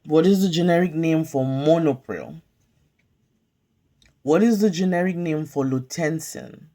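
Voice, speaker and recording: Woman, unhurried quiz questions, warm dark voice, measured pauses, phone mic at home. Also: sits low. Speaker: pharmacy tech study tutor. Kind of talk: slow flashcard-style quiz review. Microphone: phone camera filming whiteboard.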